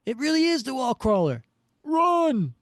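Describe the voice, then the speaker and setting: Man putting on a rowdy high-pitched barroom thug. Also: very animated, moderate pace, a little mellow, dry recording, studio quality. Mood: alarmed. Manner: mocking, boisterous. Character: rowdy, jeering male dive-bar lowlifes.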